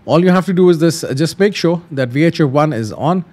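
A man's voice: a little high, lively intonation, a little fast, a little mellow. A man hosting a tutorial, explaining things casually step by step and repeating simple instructions. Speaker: host of flight simulator tutorials.

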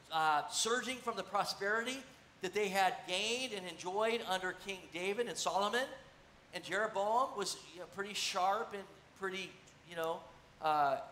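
Bright animated middle-aged preacher man. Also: sits high. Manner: animated, conversational, gesturing while seated on a stool. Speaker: pastor. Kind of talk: sermon. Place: church stage, large room. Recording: echoey church room, faint noise.

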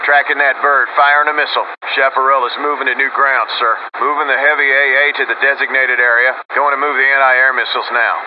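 An actor playing a dutiful anti-air vehicle soldier in a video game, reporting in clipped, formal military phrases over radio. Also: male voice, mid-range voice, little pitch movement, quick pace, few pauses. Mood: businesslike, alert. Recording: muffled radio with loud vehicle noise.

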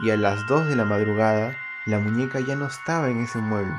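A man's voice: lowish voice, a little fast. Male storytime narrator over background music. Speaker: storytime animation host. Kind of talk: scripted storytelling narration with acted banter.

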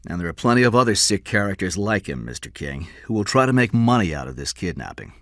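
A man voicing a tough, blunt, protective police detective in an audiobook story, forceful and scornful in manner. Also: low voice, very animated, fast, no echo, clean studio sound.

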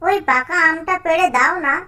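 A woman's voice: very high voice, fairly quick, pushed and bright, distant mic. A woman voicing a small, eager village boy with a childlike, pleading manner in a children's cartoon. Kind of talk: children's moral cartoon dialogue.

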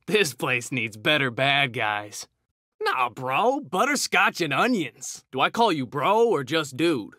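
A man's voice: a little high, big pitch swings, slow, pushed and bright, no echo, studio quality, heavily acted. A man voicing a cocky, hot-tempered young mutant ninja turtle, trading brash, slangy taunts in a fighting video game. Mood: cocky, defiant. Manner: brash, slangy trash talk.

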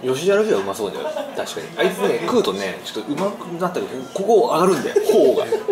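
A man's voice: fairly high pitch, huge pitch swings, racing. A man in his thirties chatting casually over a meal in blunt, informal masculine slang. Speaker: food vlog host. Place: small restaurant room, indoors. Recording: echoey restaurant with loud clatter, chatter.

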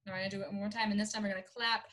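A woman's voice: mid-range voice, little pitch movement, very fast, very noisy. A young woman teaching small children in simple, repeated, encouraging phrases, recorded indoors on a device mic a little way off. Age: young adult. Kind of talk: instructional lesson for young children. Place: small room indoors, sitting on the floor.

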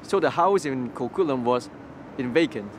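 A man's voice: mid-range voice, expressive, moderate pace. A young man from Hong Kong, a Cantonese native speaker, telling his story in an interview on a city street, with many restarts and repeated words. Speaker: interview guest. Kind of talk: personal testimony interview. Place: outdoors on a city street by a graffiti wall. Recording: street noise in the background. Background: city traffic noise.

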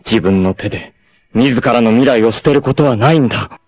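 An actor playing a proud, fierce, devoted martial-arts warrior facing death, with solemn, dramatic delivery in 1980s anime style. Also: male voice, medium pitch, darkish tone, lightly acted. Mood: troubled, urgent. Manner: solemn, dramatic, deliberate delivery with intense outbursts.

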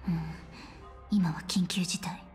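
An actress voicing a decisive woman commander who gives firm, brisk orders. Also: lowish voice, fairly even intonation, darkish tone. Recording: game audio with faint music.

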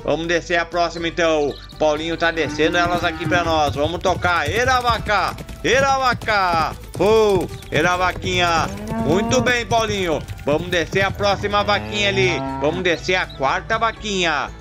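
A man narrating his toy farm play for viewers in a chatty, friendly way, with drawn-out herding calls to the cattle. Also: sits high. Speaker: toy farm channel host. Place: outdoors in a dirt yard with toy animals. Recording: background music under the voice.

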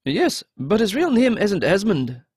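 Man acting a frightened, pleading man, high-pitched voice. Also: huge pitch swings, a little slow, darkish tone, husky, dry recording, clean studio sound, heavily acted. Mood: remorseful, grave. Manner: earnest, anxious, pleading. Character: frightened, pleading young man, remorseful deserter.

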